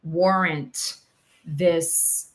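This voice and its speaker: A woman's voice: low voice, steady tone, very slow. A woman talking reflectively in conversation, hesitant and searching for her words. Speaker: podcast host.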